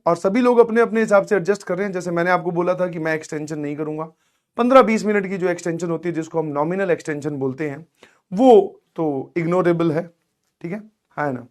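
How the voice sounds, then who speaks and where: A male coaching teacher with a hoarse, strained throat, giving motivational advice to students in an online class, coaxing them along, saying please and checking that they follow. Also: high voice.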